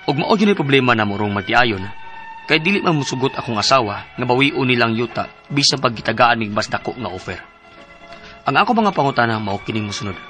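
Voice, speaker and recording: An earnest, troubled husband in his thirties with a Cebuano Filipino accent. His bright voice moves up and down expressively in radio drama style, over music. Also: mid-range voice. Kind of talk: radio drama acting and letter narration.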